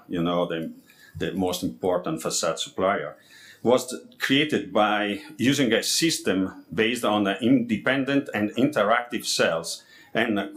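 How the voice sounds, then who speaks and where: A man with a fairly low voice gives a formal, read-out conference presentation in English that is not his mother tongue. His delivery is halting, and he trips on words and repeats them as he goes. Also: fairly bright tone. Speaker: architect presenting a project.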